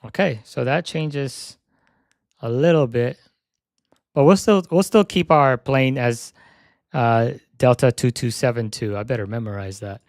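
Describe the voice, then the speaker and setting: Gravelly-voiced young man streaming casually. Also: mid-range voice, unhurried, dry recording, clean studio sound. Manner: chatty, thinks aloud while setting up; repeats "yep" while checking items. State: slightly hoarse. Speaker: flight sim streamer. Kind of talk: casual live-stream chat.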